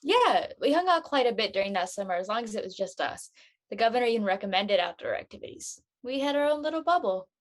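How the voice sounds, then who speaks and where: A woman's voice: a little high, very animated, quick pace. An actress playing an easygoing, mildly teasing teenage girl in casual drama dialogue. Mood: reflective.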